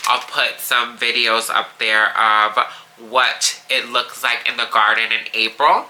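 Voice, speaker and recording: A gardening vlogger talks through a haul at an unhurried pace, sounding stuffy and congested from allergies. The tone stays eager and gushing, and a steady hiss of background noise sits under the voice. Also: medium pitch. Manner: enthusiastic, gushing about flowers. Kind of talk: casual show-and-tell haul.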